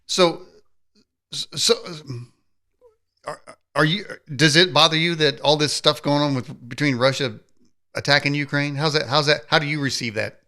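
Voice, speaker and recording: A man hosting a podcast interview who asks rambling, halting questions full of fillers. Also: on the high side, fairly even intonation, pushed and bright. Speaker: podcast host.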